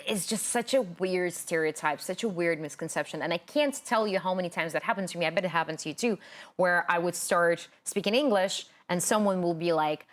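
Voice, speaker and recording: A woman's voice: fairly low pitch, brisk. A young woman from Ukraine whose first language is Ukrainian, hosting a casual interview as an accent coach and talking earnestly with many fillers.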